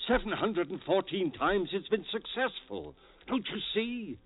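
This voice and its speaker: An actor playing an obsessed surgeon, fervent and insistent in old-time radio horror style. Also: male voice, high-pitched, animated, a little slow, gravelly, husky, heavily acted. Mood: fervent pleading. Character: obsessed surgeon driven to revive his dead wife, intense and persuasive. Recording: tinny old radio recording.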